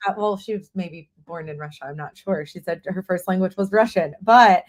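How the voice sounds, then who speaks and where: A woman from North Carolina with a light Southern accent, presenting a software demo over a video call with a friendly, casual manner. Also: on the low side, normal speed. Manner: friendly, uses y'all, frequent fillers like "like" and "um". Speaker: guest presenter.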